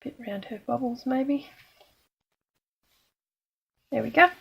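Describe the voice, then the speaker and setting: A middle-aged woman from Australia, slightly croaky today, chatting casually and self-deprecatingly while she demonstrates card-making. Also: sits high, slow. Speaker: craft demonstrator.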